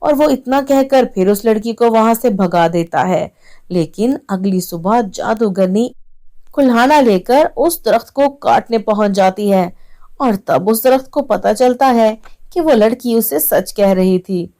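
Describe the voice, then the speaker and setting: A woman's voice: a little high, measured pace, warm tone. A woman narrator reading a scripted story for children.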